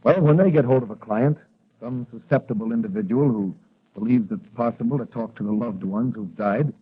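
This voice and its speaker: Man acting a confident young cop, husky old-radio sound. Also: mid-range voice, fairly quick, natural voice. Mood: matter-of-fact, earnest. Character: confident young patrolman explaining rackets, a plainclothes crimefighter. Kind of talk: 1940s radio adventure acting. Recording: muffled, band-limited vintage radio recording.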